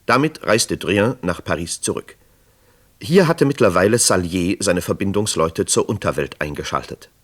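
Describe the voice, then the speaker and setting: A man's voice: sits low, animated, moderate pace. A man narrating a scripted true crime radio drama in a sober manner. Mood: sober, suspenseful.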